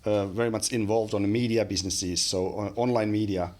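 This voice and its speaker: A man's voice: fairly low pitch, steady tone, a little fast, darkish tone. A man in his forties with a Finnish accent, a podcast guest talking casually with many fillers and restarts, recorded on a studio mic in a small room. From Finland. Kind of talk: casual interview chat. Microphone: studio mic on boom arm.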